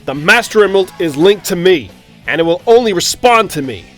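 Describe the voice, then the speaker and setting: A man's voice: high-pitched, lively intonation, a little fast, a bit bright, soft background music. A man voicing a proud, determined echidna guardian hero, earnest and declamatory, in a fan audio drama. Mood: solemn resolve.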